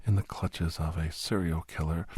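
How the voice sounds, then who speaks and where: Man playing a hushed, husky, haunted old-soldier doctor. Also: sits low, steady tone, no echo, studio quality. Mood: fearful, haunted. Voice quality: breathy, husky. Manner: slow, halting, hushed, often slipping into whisper. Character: grave, haunted male doctor, war veteran, recounting horrors. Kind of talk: ASMR horror audio drama narration.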